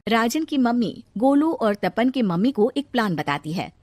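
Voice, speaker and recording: A woman's voice: on the high side, sing-song, normal speed, darkish tone, dry recording. A woman narrating a scripted cartoon story as the storyteller. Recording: music behind the narration.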